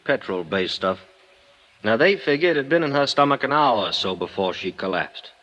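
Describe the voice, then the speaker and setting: An actor playing a blunt, weary police lieutenant with an American accent and folksy, clipped talk, in 1950s radio drama style. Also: male voice, medium pitch, animated, moderate pace, fairly bright tone, highs cut off, natural voice. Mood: matter-of-fact. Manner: folksy, clipped, plain-spoken cop talk.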